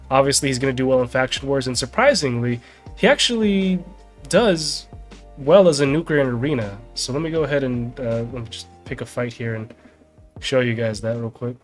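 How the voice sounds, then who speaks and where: A man in his thirties, slightly nasal as he gets over a sickness, giving a casual game walkthrough. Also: mid-range voice. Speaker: game guide video host. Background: background music.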